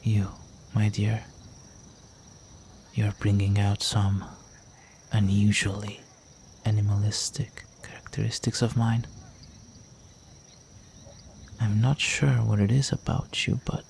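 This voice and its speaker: Man acting as seductive ancient vampire gentleman, very slow, even low-key delivery, breathy, courtly, teasing, intimate audio drama, faint night noise behind. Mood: intense, enamoured. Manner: courtly, flirtatious, teasing, breathy with drops into whisper.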